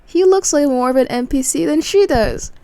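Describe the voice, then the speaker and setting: Female gaming creator: high voice with heavy creak, offhand and teasing, casual playthrough chat. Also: lively intonation, moderate pace, mellow, faint music behind.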